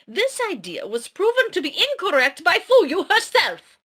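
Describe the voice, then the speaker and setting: A woman playing an earnest young female scientist in an amateur anime-style drama read, with deliberate, dramatic delivery. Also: extremely high pitch, wildly swooping pitch, a bit bright, exaggerated voice. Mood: earnest, challenging.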